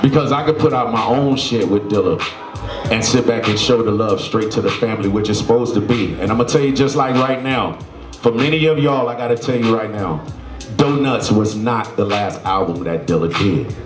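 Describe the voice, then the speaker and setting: A man's voice: lowish voice, moderate pace, reverberant, soft background music. Blunt, passionate man at the mic. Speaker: foundation representative. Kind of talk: fiery speech to a crowd.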